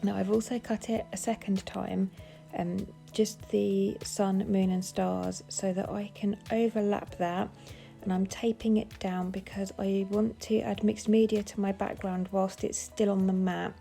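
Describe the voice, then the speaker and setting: A woman with a cold, sounding stuffed up, talks through her craft tutorial step by step. Her voice is gravelly and mellow and stays fairly flat in pitch. Soft music plays faintly underneath. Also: measured pace. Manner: calmly explains each step as she works. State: has a cold, sounds bunged up. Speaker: papercraft designer. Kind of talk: casual narrated craft tutorial.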